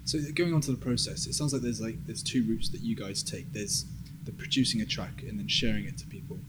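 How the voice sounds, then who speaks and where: A man's voice: on the low side, fairly quick. A man working as an interviewer, putting questions in a conversational way. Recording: clearly noisy background ambience.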